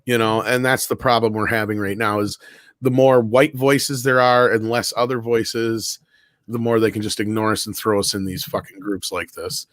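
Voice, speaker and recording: A man's voice: on the low side, steady tone, normal speed, no room sound. A man co-hosting an online show in casual, opinionated chat, speaking bluntly and emphatically with plenty of swearing.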